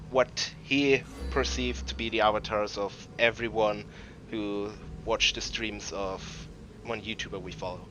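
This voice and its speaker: A man's voice: medium pitch, a bit bright, highs cut off, slight background noise. A man who is not a native English speaker, giving casual, rambling let's play commentary, often interrupting himself. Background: video game music and sounds.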